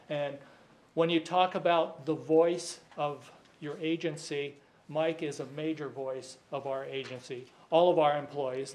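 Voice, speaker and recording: A man from Southern California giving formal remarks to a county board as an agency director, hesitant with frequent fillers and a light joking tone. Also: on the high side. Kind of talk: formal public meeting remarks.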